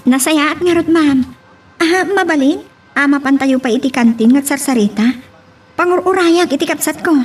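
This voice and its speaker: A woman's voice: high-pitched, normal speed, natural voice. A woman with an Ilocano accent playing a polite, friendly young woman in a love-story audio drama. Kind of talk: Ilocano radio-style drama acting. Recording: distant mic, faint ambient noise.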